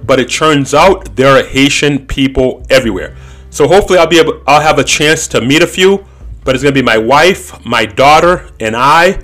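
A man's voice: fairly high pitch, a bit bright. A man in his thirties hosting a podcast, talking in a blunt, opinionated casual monologue. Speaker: podcast host.

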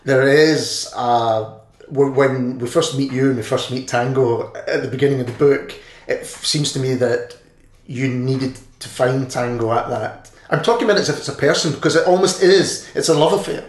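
A man's voice: mid-range voice, moderate pace. Enthusiastic male interviewer. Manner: enthusiastic, rambling questions. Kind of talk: interview conversation. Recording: echoey room, faint noise.

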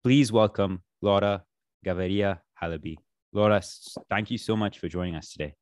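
A man's voice: fairly low pitch, moderate pace, no room sound, clean studio sound. A man hosting an interview, reading a polished formal introduction and asking his guest questions. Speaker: interview host.

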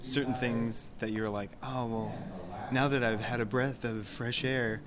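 Man talking amid a loud crowd. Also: mid-range voice, fairly even intonation, unhurried. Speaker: sound editor. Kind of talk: casual interview.

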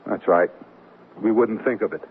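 A man's voice: lowish voice, normal speed, husky. An actor playing a jealous, folksy married man in an American radio comedy, blustering and sarcastic. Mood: jealous, reluctant. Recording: muffled noisy off-air radio recording.

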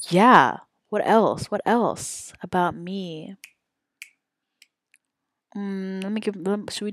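A woman in her twenties talks through her solo podcast in a loose, rambling way, full of ums and mid-sentence restarts. Her voice sits on the low side for a woman. It is recorded up close with a dry sound and no room echo. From Saudi Arabia. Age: 20s. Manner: rambling, chatty, many ums and self-corrections. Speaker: podcast host. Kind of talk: casual solo podcast chat.

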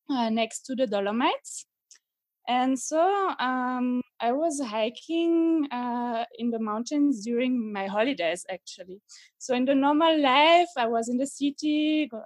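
A woman from Switzerland, a guest in an interview, speaking haltingly with many fillers. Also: a little high, no echo. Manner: hesitant, many fillers, laughs as she talks. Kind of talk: casual interview.

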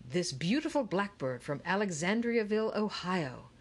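Woman as a showy holographic gentleman naturalist. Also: medium pitch, very animated, normal speed. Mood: proudly informative.

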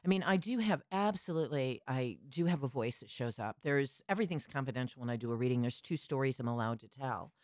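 A woman's voice: a bass voice, expressive, fast, few pauses, a little mellow, no echo, clean studio sound. A middle-aged or older woman, an astrologer, telling stories reflectively in a conversational interview. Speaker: astrologer, podcast guest.

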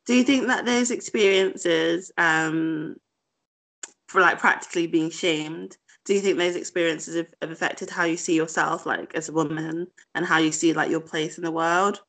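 A woman's voice: on the low side, fairly even intonation, moderate pace, a bit bright. A woman interviewer asking curious, thoughtful questions in a casual conversation.

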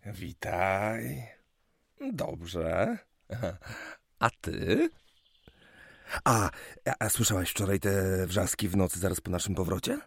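An actor playing an earnest, nervous young suitor in a historical radio drama, speaking politely and hesitantly with some stammering. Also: male voice, low voice, lively intonation, slow pace, creaky, pauses often, husky, no echo, clean studio sound, heavily acted. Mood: gentle, earnest.

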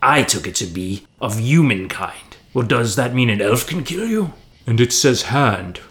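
A man's voice: medium pitch, sing-song, measured pace, a bit bright. A man voicing a pedantic, argumentative wraith henchman in a comic fantasy story. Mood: quibbling.

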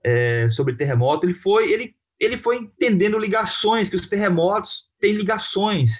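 Casual Brazilian man, slightly high voice. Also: animated, breathy edge. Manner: informal, halting, peppered with tag questions. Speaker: film director being interviewed. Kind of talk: casual interview talk about own work.

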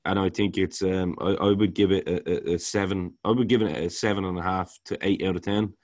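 A man with a baritone voice, talking casually as a podcast co-host and rambling, often repeating words while he searches for them. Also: steady tone, fairly quick, a little mellow. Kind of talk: casual music review chat.

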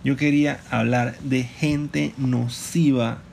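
Young male podcaster, gravelly, jokey storyteller. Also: medium pitch, a little hiss or hum. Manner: joking, animated storytelling with quick laughs. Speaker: podcast co-host. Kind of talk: casual couple podcast chat.

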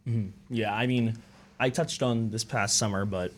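A young man with a fairly low voice talks loosely as a radio interview guest. He stops and restarts often, filling gaps with small fillers, in an easygoing way. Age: young adult. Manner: relaxed, halting with many fillers. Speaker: guest, musical theatre student. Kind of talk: casual radio interview chat.

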